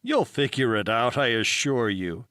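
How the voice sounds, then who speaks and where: A man's voice: mid-range voice, very animated, unhurried, bright, forceful tone, clear, resonant tone, no room sound, clean studio sound. A man voicing an eccentric, self-assured forest dweller giving directions formally and emphatically in an audiobook. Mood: cryptic, assured. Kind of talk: audiobook character voice.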